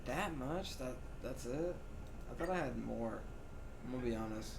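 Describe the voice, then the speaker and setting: A man streams a game with casual, playful commentary full of slang and swearing. His voice sits in a middle range, with clear noise and game sound behind him. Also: medium pitch, animated, normal speed. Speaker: game livestreamer. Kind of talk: casual gaming commentary, reading game text aloud.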